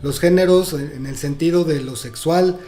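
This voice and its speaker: A man explaining ideas earnestly in a casual panel talk. His voice is mellow and a bit high for a man. Faint music plays underneath. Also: normal speed. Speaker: podcast co-host.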